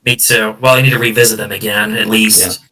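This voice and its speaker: Male podcast host, casual and halting, repeats himself, sits back from the mic. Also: on the low side, fairly even intonation, pushed and bright, far from the mic, rough recording. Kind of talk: casual film chat.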